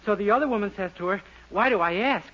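An actor playing a naive, guileless young man who is slow on the uptake, in a 1940s radio sitcom. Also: high voice, bright, forceful tone. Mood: naive, eager. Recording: dull, noisy 1940s radio recording.

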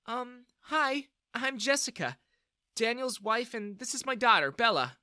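A man plays a gentle middle-aged mother in a narrated story. The voice is high and polite, with an apologetic manner and a dry, close sound. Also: big pitch swings, measured pace, no room sound, full character voice.

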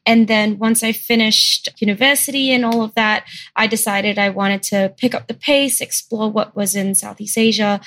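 A woman's voice: mid-range voice, a bit bright, no echo. A woman, a company founder answering interview questions in a matter-of-fact, conversational way. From Australia. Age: 20s.

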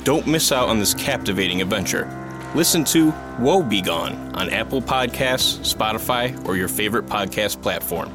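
Male podcast announcer, lower register, scripted promo read over clear music. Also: lively intonation, moderate pace. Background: prominent music.

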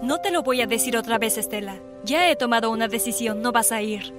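A cold, controlling mother, put on by a woman who drops her voice low, giving firm orders without budging. The voice sits lower than her narrator's, steady and unbending, with music playing underneath. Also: brisk, close to an everyday voice. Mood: stern. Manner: cold and firm, clipped commands. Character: stern controlling middle-aged mother. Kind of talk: voice acting in an animated story dub.

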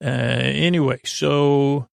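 A man speaks in a very creaky, gravelly voice at a medium pitch, recorded very dry. He hosts a bedtime podcast, wandering softly from tangent to tangent. His delivery is halting, soothing and gently whimsical, full of fillers and pondering pauses. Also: fairly even intonation, no echo. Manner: meandering, hesitant, halting, with frequent tangents and pauses. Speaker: podcast host. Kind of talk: rambling bedtime monologue.

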